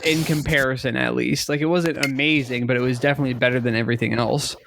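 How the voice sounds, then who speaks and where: A man's voice: mid-range voice, steady tone, fast talker, creaky, background noise. A man chatting informally and enthusiastically as a podcast co-host. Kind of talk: casual podcast chat.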